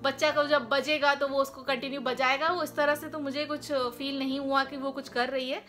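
A young woman with a high, bright voice talks through a baby product review in a chatty, explanatory way, slipping English phrases into her sentences. She speaks to a camera in a small bedroom, with music playing clearly underneath. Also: level tone, normal speed. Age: young adult. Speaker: parenting vlogger reviewing a baby toy. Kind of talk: casual product review, Hindi mixed with English.

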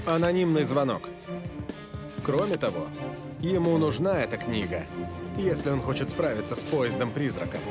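A man voicing a stern, by-the-book cartoon investigator with an officious, deadpan delivery. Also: mid-range voice, normal speed, long pauses, over loud music, close to an everyday voice. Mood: businesslike. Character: stern, by-the-book male league disciplinary agent. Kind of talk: dubbed cartoon acting.

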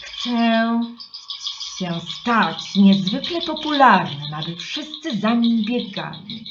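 A woman's voice: on the high side, sing-song, slow, muffled recording, noisy background, voice pushed up. A woman voicing a fat little desert god in a children's story, abrupt and commanding. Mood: commanding, rousing.

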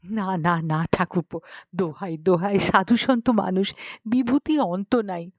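A woman's voice: mid-range voice, unhurried, no room sound, lightly acted. Woman voicing a pious, pleading village wife. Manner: imploring, reverent.

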